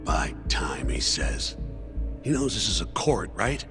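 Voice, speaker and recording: A man acting a theatrical, excitable defense lawyer in a video game drama, with pointed, formal phrasing. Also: deep voice, takes it slowly, music in the background, exaggerated voice. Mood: exasperated. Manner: formal, pointed courtroom questioning.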